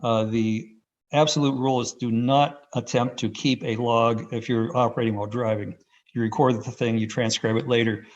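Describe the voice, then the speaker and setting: A man's voice: medium pitch, little pitch movement, moderate pace. Man rambling over dull call audio. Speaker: amateur radio contest operator. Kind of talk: unscripted first-person account on a video call. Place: video call. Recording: dull, band-limited video call audio.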